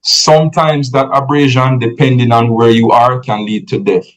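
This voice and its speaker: A man, a podcast guest giving measured, explanatory advice who often checks in with "right?". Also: medium pitch, steady tone, low-quality recording.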